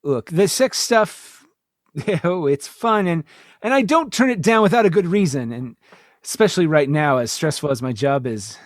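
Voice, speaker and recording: A man's voice: on the high side, lively intonation, normal speed, no echo, studio quality, lightly acted. A man playing an earnest, boyishly romantic starship captain who stammers sheepishly in a comedic sci-fi radio play. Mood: earnest, flustered. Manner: stammering, throat-clearing, sheepish.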